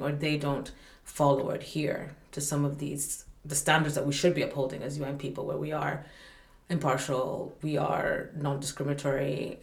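A woman's voice: sits low, creaky, darkish tone, reverberant. A woman from Malawi, a humanitarian staff representative, speaking earnestly as a podcast guest, often circling back with fillers and restarts. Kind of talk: podcast conversation.

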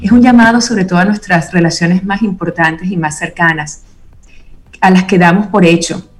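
A woman's voice: on the low side, fairly even intonation, moderate pace. A woman speaking as an interview guest, reflective and earnest in a conversational style. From Venezuela.

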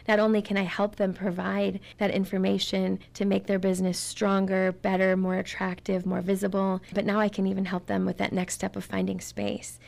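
Middle-aged woman guest, gravelly voice, kinda flat and earnest, leans on words. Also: medium pitch. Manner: earnest, warm, repeats words for emphasis. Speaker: interview guest, community volunteer. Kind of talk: casual interview talk.

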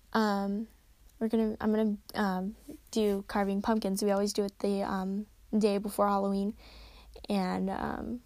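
A tired young woman hosting a casual solo podcast at home, rambling and correcting herself, her voice slightly raspy. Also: medium pitch, darkish tone, dry recording. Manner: rambling, chatty, self-correcting. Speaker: podcast host. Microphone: close desk microphone.

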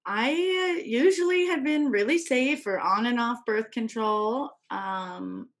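A woman's voice: a little high, slow pace. A woman in her thirties talking candidly in a casual chat, hesitant and full of fillers and restarts. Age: 30s.

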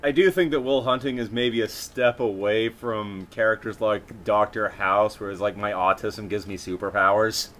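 A man's voice: on the low side, moderate pace, few pauses, fairly bright tone, a little hiss or hum. A man hosting a casual film discussion podcast. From Massachusetts, United States. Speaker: podcast host.

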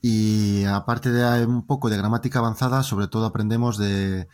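A man from Spain with a Spanish accent, speaking as an interview guest in a relaxed conversation and telling lively, joking stories in long winding turns. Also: on the low side, moderate pace, smooth.

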